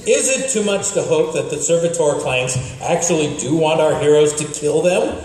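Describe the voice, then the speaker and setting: A man's voice: fairly high pitch, lively intonation, moderate pace, darkish tone, faint music behind, natural voice. Man as pompous, echoing radio serial narrator. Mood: wry. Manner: theatrical, pompous, dryly comic. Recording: very echoey hall, faint noise.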